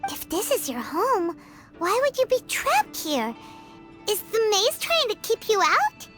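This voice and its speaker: A woman voicing a small, inquisitive childlike companion in a video game, with an expressive, questioning manner. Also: very high voice, a little slow, music in the background, full character voice. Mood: puzzled. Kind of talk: scripted video game dialogue.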